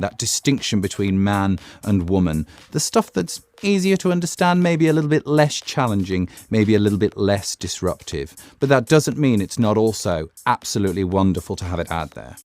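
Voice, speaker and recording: Male, lower pitch with animated intonation, articulate reflective commentary. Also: dry recording. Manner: articulate, thoughtful, builds in parallel phrases.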